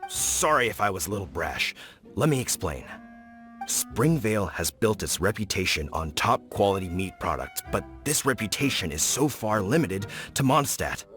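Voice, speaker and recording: A man's voice: lowish voice, big pitch swings, normal speed, a bit bright, faint music behind. An actor playing an eager, pushy male meat supplier in a game cutscene, talking like a salesman. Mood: pitching. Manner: salesman-like, persuasive. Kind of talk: video game cutscene dub.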